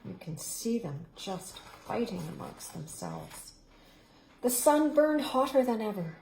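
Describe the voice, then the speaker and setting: A middle-aged woman reads a children's storybook aloud at an unhurried pace. Her slightly high voice swells with drama and drops to soft whispers. The recording carries a roomy echo. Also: slight background noise. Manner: expressive, dramatic rises and hushed, whispered endings. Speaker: storybook reader. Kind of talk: reading a picture book aloud.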